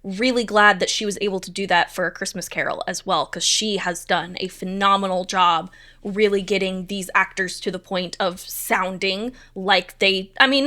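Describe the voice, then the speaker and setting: Effusive American woman with a bright voice. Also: medium pitch. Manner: emphatic, effusive. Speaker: theatre director being interviewed. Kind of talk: casual chat.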